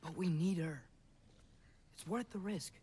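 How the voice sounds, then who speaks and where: A man's voice: high voice, unhurried. A man playing an earnest, restless, hopeful teenage boy in a video game, eager yet tentative. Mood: earnest, defensive. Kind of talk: dramatic video game acting.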